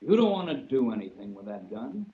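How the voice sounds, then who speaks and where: An actor playing a cautious attendant who soothes and humors a patient in a 1940s radio mystery. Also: male voice, medium pitch, very animated, brisk, natural voice. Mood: wary coaxing. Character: calm, coaxing sanatorium attendant humoring a supposed madman. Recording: dull vintage 1940s radio recording.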